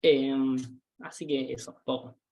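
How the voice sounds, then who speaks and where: A man's voice: mid-range voice, little pitch movement, slow pace, a little mellow. Young Argentine man, hesitant and casual. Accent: Rioplatense Spanish. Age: 20s. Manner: hesitant, many fillers and restarts. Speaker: guest, tour guide. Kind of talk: casual interview chat.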